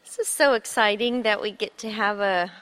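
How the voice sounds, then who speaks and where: A woman's voice: mid-range voice, moderate pace, fairly bright tone, rough recording. A middle-aged woman from Sweden, a pastor's wife giving a heartfelt, encouraging talk and prayer at a women's conference. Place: church conference hall.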